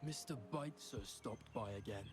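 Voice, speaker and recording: An actor voicing a cautious, secretive crook in a video game, measured and conspiratorial. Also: male voice, lowish voice, natural voice. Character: cautious, secretive male crook posing as an exile. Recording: game audio with music behind.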